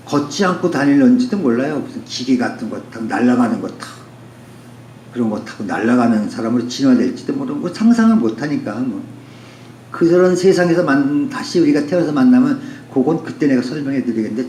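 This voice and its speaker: A man's voice: mid-range voice, mellow. A man speaking as a poet, musing philosophically in an unscripted, poetic way with repeated phrasing. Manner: philosophical, poetic, with rhetorical repetition. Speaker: poet and author giving a talk. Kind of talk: reflective spoken essay, unscripted. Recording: roomy echo with faint background noise.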